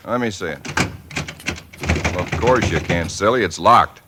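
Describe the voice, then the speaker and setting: An American actor playing a tired, dismissive husband who narrates an eerie night, in 1940s radio suspense style. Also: male voice, low-pitched, moderate pace, natural voice. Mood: weary. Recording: noisy old radio drama recording with clicks.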